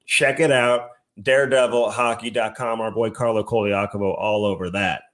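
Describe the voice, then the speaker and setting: A man's voice: lowish voice, steady tone, normal speed, fairly bright tone. A man co-hosting a podcast in a casual, bantering style, slangy and peppered with "dude" and "man". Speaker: podcast co-host.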